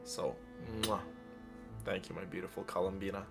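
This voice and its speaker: A man's voice: low-pitched, level tone, normal speed, gravelly, over music. A man hosting a livestream, chatting casually and addressing viewers with doting affection. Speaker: livestream host. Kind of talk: casual chat while streaming a game.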